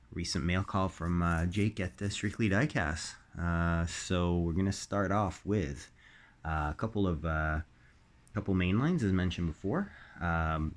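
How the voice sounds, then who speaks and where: A man with a slightly raspy voice while recovering from illness, hosting a casual unboxing, halting with many ums and uhs. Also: deep voice. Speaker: diecast collector host.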